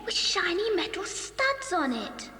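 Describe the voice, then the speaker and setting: A woman's voice: extremely high pitch, sing-song, a little slow, sharp tone, exaggerated voice. A woman playing a young, earnest, doubtful girl with a plaintive, questioning way of talking in a children's audio drama. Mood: uneasy, puzzled. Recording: cassette drama with faint noise.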